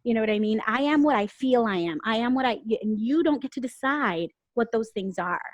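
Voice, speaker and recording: A woman from Puerto Rico, a guest on a podcast and an actor, telling personal stories candidly, animated and emotional, acting out the voices she quotes. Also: fairly high pitch, fairly quick. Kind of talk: candid conversational storytelling.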